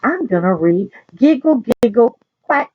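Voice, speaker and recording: A woman's voice: fairly high pitch, expressive, a little slow, highs cut off. A woman with a Southern US accent reading a children's picture book aloud, chatting in folksy asides and putting questions to her young listeners. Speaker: library storyteller.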